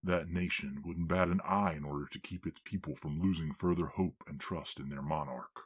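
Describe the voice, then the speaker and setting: A man playing a formal lord in an audio drama, speaking in a measured, authoritative way. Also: rumbling low, steady tone, breathy edge.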